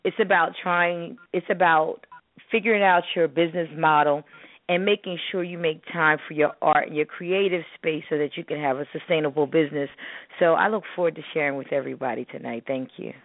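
A woman's voice: deep voice, a little fast, dry recording. A woman from Jamaica chatting casually as co-host of a talk show. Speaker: co-host, spoken word artist. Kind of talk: casual chat. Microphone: phone. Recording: dry, tinny phone line.

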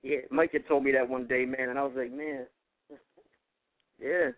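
A man's voice: medium pitch, little pitch movement, moderate pace. A man with a Philadelphia accent, a rapper guest on a radio show, talking in easygoing street slang. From South Philadelphia. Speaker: guest musician. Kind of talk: casual interview chat. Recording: tinny phone line.

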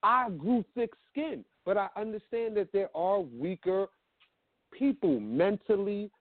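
A man speaking as a guest on a casual talk show, frank and blunt, stumbling through repeated fillers as he makes his points. His voice sits fairly high. It comes over a dry, narrow phone line. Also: expressive, measured pace, no echo. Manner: frank, repetitive, many fillers. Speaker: guest, media creator. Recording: dry, phone-like call audio.

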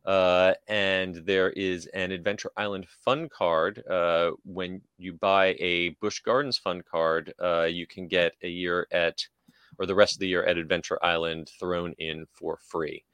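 A man co-hosting a podcast, going through theme park news informally, with a somewhat halting delivery. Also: low voice, level tone, fairly bright tone, smooth, no room sound. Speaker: podcast co-host.

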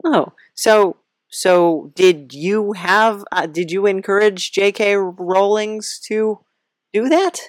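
A woman's voice: mid-range voice, slow pace, dry recording, clean studio sound. A woman hosting a podcast interview in a casual, playful, rambling way, often hesitant and giggly.

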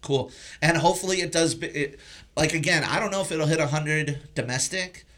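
A man's voice: on the high side, brisk, a bit bright. A man hosting a casual film discussion, talking informally and teasingly with plenty of swearing. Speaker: podcast host.

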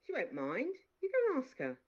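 A woman's voice: high-pitched, wildly swooping pitch, normal speed, pitched above the performer's own voice, heavily acted. A woman voicing a good-natured, talkative maid who teases and scolds, in a dull lo-fi recording. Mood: teasing. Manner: bossy, teasing, talkative.

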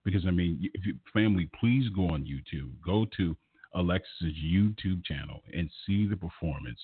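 A man's voice: low-pitched, normal speed, slightly rough, dry recording, phone-quality sound. A man hosting a conversational radio interview, encouraging and complimentary toward his guest. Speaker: radio show host interviewing a singer.

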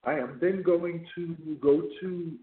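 Man, hoarse preacher, high voice, halting restarts, tinny phone line, echoey. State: hoarse, losing his voice. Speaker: chaplain and radio show host. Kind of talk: radio sermon.

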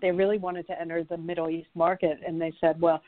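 Chatty woman interview guest, first language French, lowish voice, phone-quality audio. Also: level tone, fast talker, no room sound. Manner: chatty, laughs easily, many fillers. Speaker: podcast guest, fashion founder. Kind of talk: conversational interview.

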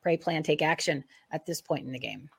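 A woman's voice: low voice, animated, brisk, gravelly, slightly rough. A woman guest-hosting an interview, asking long, meandering questions in a casual way. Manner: rambling, restarting questions. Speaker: guest host.

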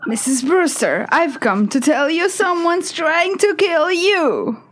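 A woman's voice: very high voice, animated, takes it slowly, full character voice. A woman playing a mysterious meddling doctor in improvised comedy, theatrical and gleeful, drawing out her words. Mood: ominous.

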